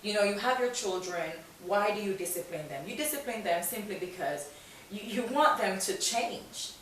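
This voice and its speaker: A woman's voice: medium pitch, animated, normal speed, fairly bright tone. A woman hosting a conversational podcast interview, speaking in an encouraging, affirming way. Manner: encouraging, affirming, explains with rhetorical questions. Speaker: talk show and podcast host. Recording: echoey, distant mic, faint hiss.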